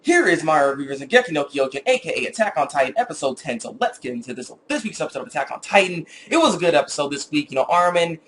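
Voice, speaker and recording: A man with a slightly high voice talks very quickly in a casual, off-the-cuff review. He rambles in an animated way as he retells scenes, and there is clear background noise on the recording. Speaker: anime reviewer with his own video channel.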